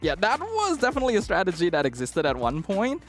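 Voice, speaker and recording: A man's voice: high voice, wildly swooping pitch, fast talker, soft background music. A man giving articulate, conversational panel analysis as an esports analyst. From Malaysia.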